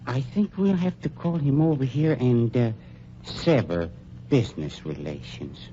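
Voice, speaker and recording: A man's voice: mid-range voice, expressive, lightly acted. A man playing an oily, falsely polite undertaker who blackmails a gangster, in 1940s radio acting on a dull, lo-fi recording with faint hiss. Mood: unctuous. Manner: unctuous, falsely polite, with drawn-out pauses. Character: oily, smooth-talking male undertaker and blackmailer.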